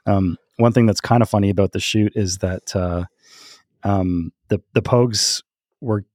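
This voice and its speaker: Male podcast co-host, lowish voice, relaxed conversational anecdotes, stop-and-start delivery, very dry sound. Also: dry recording. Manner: halting, chuckles often while talking. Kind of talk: casual chat, telling music trivia and anecdotes.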